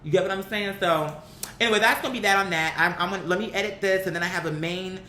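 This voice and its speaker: A woman's voice: fast talker, pushed and bright. An American woman giving opinionated, casual commentary to her viewers, speaking in a blunt, emphatic, slangy way and often throwing out rhetorical questions. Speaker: vlogger, commentary host. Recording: roomy echo with faint rumbling noise.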